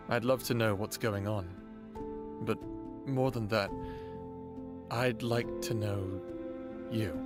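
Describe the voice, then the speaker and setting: A man acting a lovestruck, earnest human in an audio drama, speaking in a hesitant, tender way. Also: fairly low pitch. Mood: shy, yearning. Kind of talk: first-person audio drama acting and narration.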